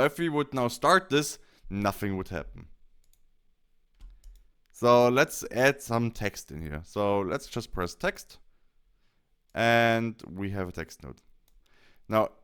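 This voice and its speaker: A man presenting a software tutorial step by step in an informal, explanatory, conversational way. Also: lowish voice, clean tone.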